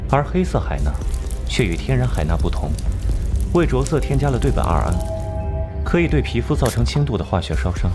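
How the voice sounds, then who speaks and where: A man acting an aloof, sharp-tongued genius consultant in a Chinese animated crime drama, cool and articulate. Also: lowish voice.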